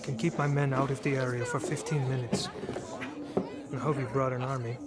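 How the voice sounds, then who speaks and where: A man's voice: mid-range voice, little pitch movement, fairly quick, heavy background noise, natural voice. An actor playing a guarded, businesslike male informant in a game.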